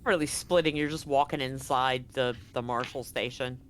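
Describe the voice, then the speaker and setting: A woman's voice: extremely low pitch, fairly even intonation, moderate pace. A woman narrating a tabletop game as game master in a casual, blunt, conversational way. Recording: noticeable background noise on live stream.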